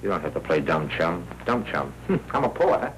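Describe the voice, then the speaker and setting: An actor playing a glib, wisecracking American newsroom tipster in slangy 1940s film noir style. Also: male voice, sits low, sing-song, normal speed. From United States. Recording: noisy, crackly 1940s film soundtrack.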